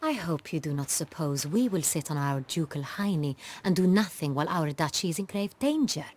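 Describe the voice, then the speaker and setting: Proud ruling duchess, deep female register, regal, formal, strong-willed, fantasy game. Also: lively intonation, fairly quick, a little mellow. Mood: commanding.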